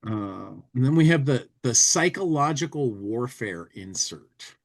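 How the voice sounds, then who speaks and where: A man's voice: medium pitch, lively intonation, a little slow. A man hosting a podcast, casually commenting on a humor magazine and reading parts of it aloud dramatically. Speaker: podcast host.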